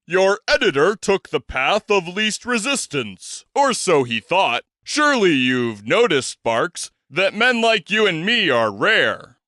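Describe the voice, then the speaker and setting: Guy doing a grand old eccentric writer, lively swoopy high voice, crisp dry sound. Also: takes it slowly, hardly any pauses, no room sound, exaggerated voice. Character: Big Daddy, older man, eccentric grand writer.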